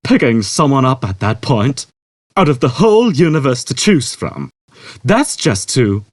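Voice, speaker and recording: A brash, impatient space rogue played by a man for a sci-fi audiobook. He talks in slangy exclamations, drawling out words, raised in pitch and swooping, with a slightly rough edge. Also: sing-song, unhurried, no room sound. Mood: amazed. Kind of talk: audiobook character voice.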